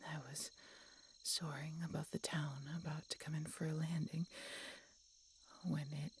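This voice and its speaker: A woman playing an ancient, mortally wounded female dragon in an audio roleplay, breathy and halting, tender through weakness and pain. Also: deep voice, level tone, a little hiss or hum. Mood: pained, grave. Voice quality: breathy, whispery. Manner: halting, weakened, pained delivery with dramatic pauses. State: weak and in pain. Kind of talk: dramatic audio roleplay addressed to listener.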